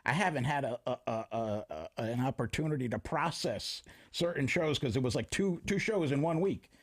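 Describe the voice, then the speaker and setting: A man hosting a radio show, chatting casually in the studio and often pausing to search for words. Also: mid-range voice, breathy edge. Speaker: radio host. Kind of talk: casual on-air chat.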